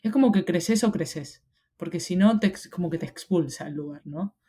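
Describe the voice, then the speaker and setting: A woman's voice: fairly low pitch, moderate pace, warm tone, slightly rough. A young Argentine woman with an Argentine Spanish accent, talking reflectively as an interview guest, often hesitating and restarting. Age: young adult. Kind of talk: casual interview conversation.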